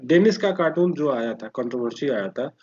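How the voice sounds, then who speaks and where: A man with an Indian accent explaining political ideas conversationally as an interview guest, often halting and repeating phrases as he searches for words. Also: medium pitch, lively intonation, darkish tone, breathy edge, dull audio.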